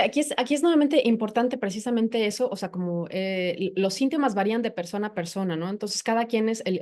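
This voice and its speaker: A woman's voice: medium pitch, a little mellow. A woman psychologist, a native Spanish speaker, talking informally and explaining things through personal storytelling on a podcast.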